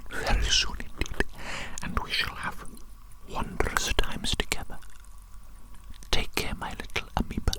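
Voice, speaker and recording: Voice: close to an everyday voice, poor audio. Evil doctor for ASMR roleplay, acted by a performer: fully whispered, doting, soothing, repetitive, eccentric. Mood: soothing, fond. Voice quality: breathy, unvoiced whisper. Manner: repeats phrases slowly and soothingly, doting.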